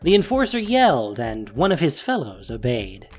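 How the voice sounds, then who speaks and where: Animated male story narrator. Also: mid-range voice, normal speed. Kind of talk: dramatic scripted audio-drama narration. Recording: faint music behind voice. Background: faint music.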